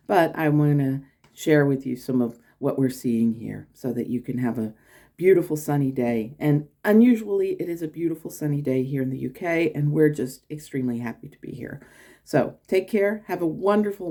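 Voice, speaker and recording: An American woman telling a casual travel story in her vlog, rambling with fillers and restarts. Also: dark tone. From United States. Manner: acts out quoted people in a higher voice. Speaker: channel host.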